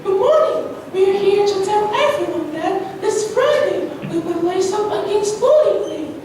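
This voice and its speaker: A woman's voice: extremely high pitch, lively intonation, a little slow, darkish tone. A female reader playing an eager, upbeat schoolgirl in an amateur reader's theatre performance. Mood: excited. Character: Grace, eager schoolgirl organising friends, upbeat. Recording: very echoey room, distant mic, faint noise.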